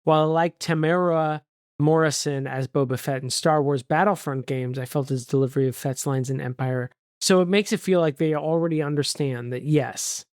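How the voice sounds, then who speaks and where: This is a podcast co-host talking casually about movies. The delivery is animated and jokey, full of exclamations and asides. The pitch sits in the middle, and the sound is dry with very little room. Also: normal speed, no room sound. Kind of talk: casual movie discussion chat.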